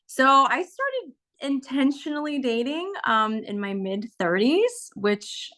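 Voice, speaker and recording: A woman's voice: sits high, very animated, slow pace. A woman in her forties from California, sharing her experience of a course in a casual, candid way, with frequent laughs and fillers. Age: 40s. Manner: warm, candid, laughs often, many fillers. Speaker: course member sharing a testimonial. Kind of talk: casual testimonial on a video call.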